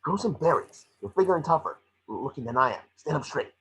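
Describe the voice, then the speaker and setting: A man's voice: on the high side, sing-song, fairly quick, a bit bright, husky, full character voice, poor audio. A man playing a small, cocky, wisecracking creature detective, snarky and bossy, in an amateur script reading.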